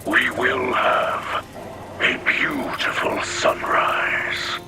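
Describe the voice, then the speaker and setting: An actor voicing a gentle, wise alien elder in a game cutscene, with a breathy, rasping growl and slow ceremonial phrasing. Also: male voice, medium pitch, level tone. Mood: hopeful, tender. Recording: loud music under the voice.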